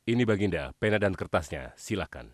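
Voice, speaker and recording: Deep cartoon palace guard done by a man, lively intonation, dutiful, submissive, loud music behind. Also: fairly quick. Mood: deferential. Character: obedient, deferential male palace guard. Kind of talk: children's cartoon dubbing.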